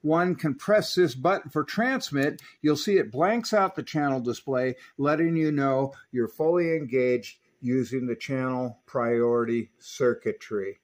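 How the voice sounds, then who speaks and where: A man's voice: medium pitch, measured pace, hardly any pauses. Methodical man explaining electronics. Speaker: presenter of radio equipment reviews. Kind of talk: hands-on technical review and demonstration.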